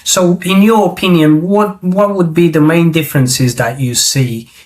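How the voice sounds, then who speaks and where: Hesitant host. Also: male voice, fairly high pitch, a little slow, a little mellow. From Romania. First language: Romanian. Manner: hesitant, many fillers and restarts. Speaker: podcast host. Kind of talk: interview questions.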